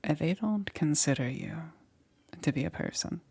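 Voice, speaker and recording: A woman voicing a caring, gently persuasive witch in a hushed, breathy ASMR roleplay. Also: low-pitched, expressive, a little slow, heavily acted. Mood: coaxing, knowing. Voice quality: breathy, whispery. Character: soft, coaxing witch, a caring self-confessed monster.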